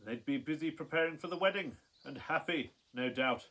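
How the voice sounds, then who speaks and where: A man's voice: on the high side, normal speed, bright, forceful tone. A man playing a bitter, wrathful young scientist in a radio play, with intense, melodramatic delivery. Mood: subdued. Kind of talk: theatrical radio play acting. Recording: roomy echo.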